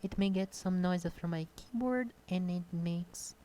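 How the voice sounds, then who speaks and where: A shy young woman with a Brazilian Portuguese accent talks off the cuff at her home computer. Her voice sits slightly low and soft-toned, and her speech is halting, full of pauses and filler sounds. The recording is close-miked at a desk. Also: a little hiss or hum. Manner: hesitant, many pauses and fillers. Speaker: content creator. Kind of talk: unscripted casual monologue. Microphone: close desk microphone.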